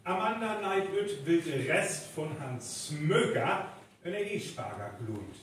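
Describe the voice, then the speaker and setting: Ein Mann spricht den Erzähler in einem live aufgeführten nordfriesischen Hörspiel. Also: male voice, on the high side, animated, takes it slowly. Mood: storytelling. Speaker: narrator. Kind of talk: live radio play narration in North Frisian. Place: live stage performance in a hall. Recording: echoey live hall, distant, faint noise.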